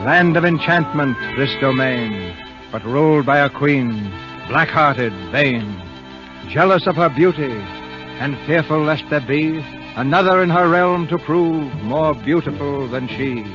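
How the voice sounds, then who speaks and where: A man's voice: a little high, a little slow, over music. A man hosting and narrating a radio drama in formal, grandiloquent 1930s scripted style. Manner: grandiloquent, oratorical. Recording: muffled, husky-sounding old radio broadcast.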